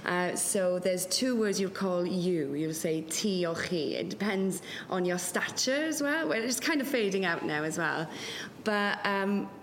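A woman's voice: fairly low pitch, animated, a little slow, few pauses. A Welsh woman, whose first language is Welsh, speaking candidly to a live audience, swearing and laughing often. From West Wales. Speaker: panel speaker. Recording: long reverb in a hall, faint noise.

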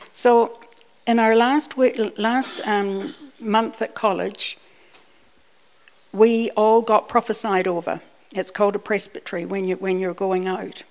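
New woman preaching conversationally. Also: medium pitch. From Scotland, raised in New Zealand. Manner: conversational, repeats words when restarting. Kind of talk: personal sermon with anecdotes.

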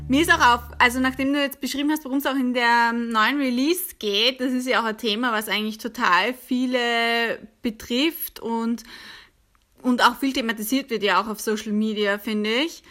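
A woman's voice: a little high, steady tone, normal speed, bright, forceful tone. A woman interviewing a musician, asking questions in a friendly, enthusiastic way.

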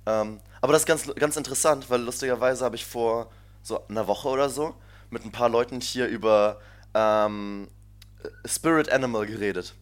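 A man's voice: mid-range voice, normal speed. A young man chatting casually as a podcast co-host, rambling and laughing often as he tells stories. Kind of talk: casual chat. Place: shared flat abroad.

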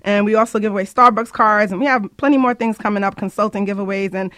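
Woman, medium pitch, upbeat talk radio host, informal, chatty, emphatic, dry recording. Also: fairly even intonation, fast, a bit bright, no room sound. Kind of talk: informal internet radio hosting with shout-outs.

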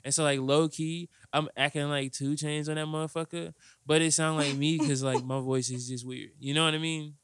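A young man hosting a podcast, laid-back and slangy, with a soft, naturally high-pitched voice and frequent laughs. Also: little pitch movement, moderate pace, hardly any pauses, clean tone, no room sound, clean studio sound. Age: young adult. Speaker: podcast host. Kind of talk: casual chat interview.

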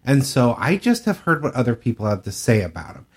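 A man's voice: medium pitch, big pitch swings, fairly quick, breathy edge. A man co-hosting a podcast, talking casually in a discussion. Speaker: podcast co-host.

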